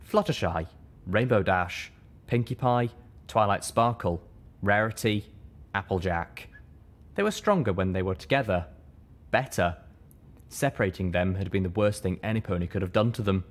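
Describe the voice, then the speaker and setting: Guy with a lowish voice reading fiction aloud, expressive, first-person audiobook style. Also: normal speed, pauses often. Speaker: audiobook narrator. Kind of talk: scripted first-person story narration.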